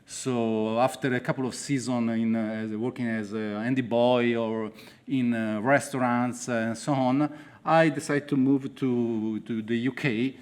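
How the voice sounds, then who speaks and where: A man from Italy with an Italian accent, an author telling his own story at a festival in halting, broken English. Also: mid-range voice, fairly even intonation, darkish tone, smooth. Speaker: author on a panel. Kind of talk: personal account to a festival audience.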